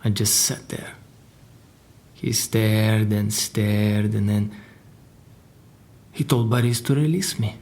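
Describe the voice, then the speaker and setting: An actor playing a crime boss's guarded secretary in an audio drama, telling his story in a dry, deliberate way. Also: on the low side, darkish tone. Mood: grave, measured. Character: formidable Russian crime boss's secretary, guarded male survivor. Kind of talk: audio drama dialogue and narration.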